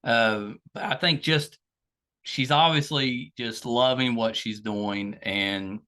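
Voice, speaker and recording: A man's voice: medium pitch, slow, sharp tone. A middle-aged American man speaking as a podcast host, casually and earnestly thanking and appealing to his audience in a chatty way. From United States.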